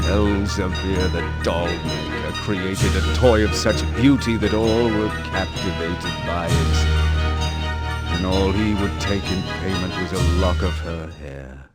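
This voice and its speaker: A grieving old king in a game cutscene. His lowish voice moves slowly and theatrically, heavy with weary despair. Music plays clearly behind him. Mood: sorrowful, wistful. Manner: slow, sorrowful, theatrical. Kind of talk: video game cutscene acting.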